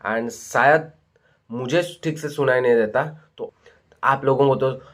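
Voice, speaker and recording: A man's voice: mid-range voice, takes it slowly. A man giving informal exposé commentary to viewers as a vlogger, often checking in with his listeners. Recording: dull lo-fi audio.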